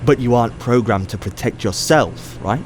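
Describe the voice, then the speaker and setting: A man's voice: mid-range voice, normal speed, a little hiss or hum, natural voice. Actor as an inquisitive male professor. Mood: probing. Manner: conversational, probing, hesitant restarts. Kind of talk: audio drama acting.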